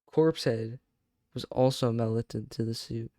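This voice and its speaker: A man's voice: mid-range voice, measured pace, mellow, a little hiss or hum. A man reading a horror story aloud in a casual, stumbling way, breaking off to react. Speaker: video creator reacting and narrating.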